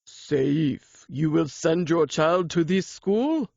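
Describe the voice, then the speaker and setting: Woman voicing a creaky, slow-talking old male vendor. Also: deep voice, expressive, a little mellow, no room sound, muffled recording, full character voice. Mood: teasing. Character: mocking old male Egyptian street kiosk vendor. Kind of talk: audiobook narration.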